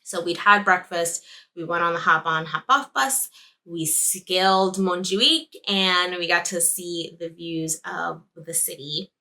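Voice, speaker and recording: A woman's voice: fairly low pitch, a little slow, bright, forceful tone. A woman whose first language is Spanish, hosting a podcast and chatting in a rambling way about a trip, correcting herself and laughing often. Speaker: podcast host. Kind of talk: casual travel recap.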